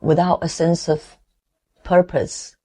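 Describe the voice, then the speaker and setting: A woman in her forties from Taiwan with a Taiwanese accent, giving an earnest, reflective motivational talk with halting restarts. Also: on the low side, little pitch movement, mellow. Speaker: motivational vlogger.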